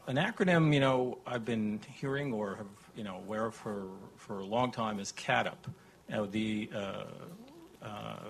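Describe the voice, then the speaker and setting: Guy in the audience asking a question, gravelly husky voice, lots of ums. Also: lowish voice. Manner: hesitant, many fillers and restarts. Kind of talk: conference question.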